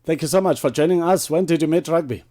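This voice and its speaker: A man's voice: on the high side, fast talker, mellow. A man with a South African accent interviewing as a radio sports host, in wordy, formal phrasing.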